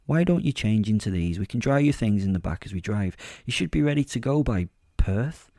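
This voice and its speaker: A sly male werewolf from a horror audio drama , acted by a man, talks with casual, teasing politeness. His voice is low and mellow, close and dry, with a predator hidden under the courtesy. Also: expressive, fairly quick, no room sound. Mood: considerate, teasing.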